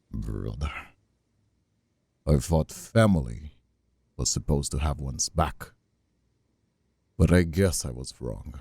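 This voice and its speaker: A man playing a menacing, smug mobster brother in an audio roleplay, gravelly and husky, with mock-polite, drawn-out taunts. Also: a bass voice, dark tone, no echo, exaggerated voice. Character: menacing, taunting mobster brother, escaped convict and crew leader. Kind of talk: scripted audio roleplay acting, ASMR.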